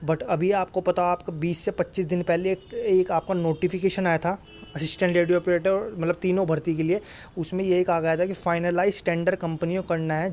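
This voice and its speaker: A man's voice: sits high, a little mellow, slight background noise. A man giving an informal exam update to students in an insistent, repetitive way. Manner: repetitive, insistent, often tags phrases with "okay". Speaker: exam-news YouTuber and coach.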